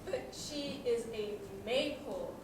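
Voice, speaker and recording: A woman's voice: fairly high pitch, takes it slowly. A woman playing an earnest, melodramatic, lovelorn repairman in a student stage comedy. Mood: earnest, defensive. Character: lovelorn earnest repairman in love with washing machine. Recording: very echoey hall, distant mic, noisy.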